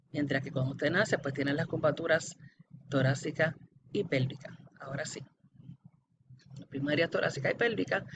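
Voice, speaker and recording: A woman giving an explanatory anatomy lecture in a didactic way, addressing the student directly and often checking for understanding. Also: deep voice. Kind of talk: explanatory classroom-style lecture. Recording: dull lo-fi audio with background noise.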